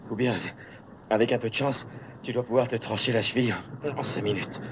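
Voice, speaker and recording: An actor in a film dub playing a cold, vengeful cop who speaks with calm menace, in a noisy soundtrack clip. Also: male voice, medium pitch, brisk, close to an everyday voice. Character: cold, vengeful male cop delivering a threat. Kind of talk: dubbed film acting.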